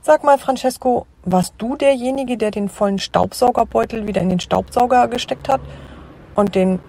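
An actress playing a sensible, mildly nagging mother and wife in a children's radio play, with a husky voice. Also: on the high side, moderate pace, dark tone. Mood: reproachful. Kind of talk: German children's audio drama acting.

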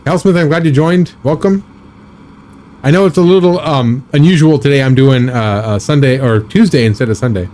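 A man in his thirties chatting casually while live-streaming a driving game, rambling with a slightly raspy, scratchy throat. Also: mid-range voice, normal speed, darkish tone. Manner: rambling, chatty, reads and answers chat.

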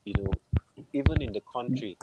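A man with a Nigerian accent answers interview questions in a conversational way. His pitch is middling, and he speaks as a guest over a video call on earphones. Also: fairly quick, vocal fry, narrow, tinny audio, very noisy. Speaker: guest, film composer. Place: room at home, on a video call. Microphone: wired earphones on a video call.

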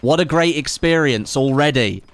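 A man's voice: fairly high pitch, normal speed, no room sound. A man, chatting casually on a live game stream, reading chat aloud and making sarcastic asides. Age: late 20s.

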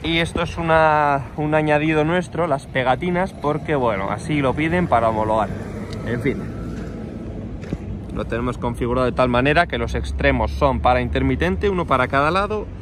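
A man's voice: medium pitch, moderate pace. A man from Spain with a Spanish accent, giving an informal, explanatory walkthrough as a workshop host, with chatty asides. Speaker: motorcycle workshop builder and channel host. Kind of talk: casual hands-on vlog walkthrough. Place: outdoors in a paved car park. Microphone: handheld phone held by the speaker. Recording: clear outdoor street and vehicle noise.

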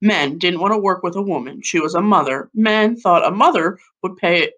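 A woman in her thirties reading a children's picture book aloud like a schoolteacher, with deliberate phrasing. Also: fairly low pitch, fairly bright tone. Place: living room at home, seated on a couch. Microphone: webcam or laptop mic at about arm's length.

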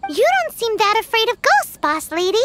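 A woman voicing a small childlike fairy companion, bubbly and bossy, squeaky and cute, in an anime-style game. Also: sing-song, moderate pace, background noise. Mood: curious. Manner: cute, exaggerated.

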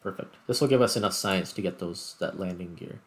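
A man's voice: sits low, brisk. A man giving casual gameplay commentary, thinking aloud as he plays. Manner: thinks aloud while playing. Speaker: gaming video host.

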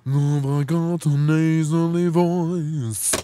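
A man speaking a short demo vocal sample in a deliberately nasal, slow and flat way. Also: on the high side, slight background noise.

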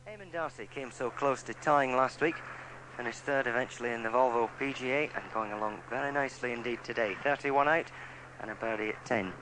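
A man's voice: medium pitch, fairly quick. A man giving live television golf commentary on a satellite broadcast. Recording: noisy satellite TV broadcast audio.

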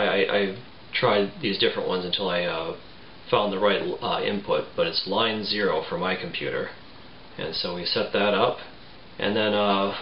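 A man in his forties giving a casual step-by-step tutorial in a halting, plain-spoken way. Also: on the low side. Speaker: tutorial presenter. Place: small home room, indoors. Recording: roomy, muffled, faint background noise.

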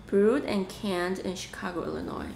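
A woman's voice: mid-range voice, wildly swooping pitch, moderate pace. A young woman casually chatting and thinking aloud as she fries, then eats, on her mukbang show. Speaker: mukbang host. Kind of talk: casual chat while cooking and eating. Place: small home kitchen room, indoors. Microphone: desk microphone on stand. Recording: echoey room with clear background noise. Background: cooking and dish clatter.

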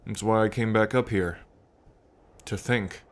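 A dragon king, acted by a man speaks intimately to the listener in an ASMR roleplay. His voice sits fairly low and he takes his time, very slow and musing, with pauses between thoughts. He comes across as fond, reflective and proud of his ancestors. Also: fairly even intonation, close to an everyday voice. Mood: reverent, reflective. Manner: unhurried, musing, pausing, softly chuckling between thoughts.

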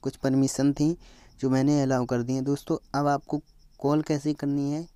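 A young Indian man giving a step-by-step phone app tutorial as a voice-over, halting when he reads English aloud. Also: medium pitch, flat delivery, warm tone, clear, resonant tone, no room sound. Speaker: tutorial host. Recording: slightly dull, lo-fi, dry close recording.

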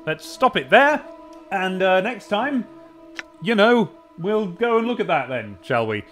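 A man's voice: high voice, sing-song, a little slow, a bit bright, faint music behind. A British man giving playful, wry, sardonic video game commentary, chatting casually and reading text aloud dramatically. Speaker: let's play host.

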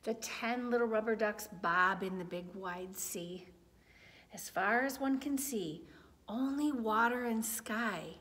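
A woman in her forties reading a picture book aloud to young children, with deliberate phrasing. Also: medium pitch, fairly bright tone. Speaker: children's story time reader. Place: large indoor room with high wooden ceiling.

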